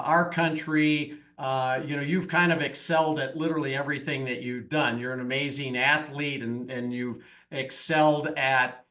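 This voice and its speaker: A man who hosts a podcast. He rambles through his questions with plenty of fillers, and his voice sits a little higher than most men's. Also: bright, forceful tone. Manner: rambling, many fillers, friendly introductions. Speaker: podcast host. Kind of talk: casual interview talk.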